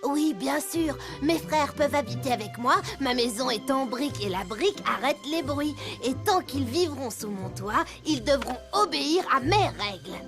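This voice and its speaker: A woman's voice: high voice, expressive, normal speed, hardly any pauses, over music. A woman playing a sensible, bossy but kindly little pig in a French children's cartoon. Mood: confident.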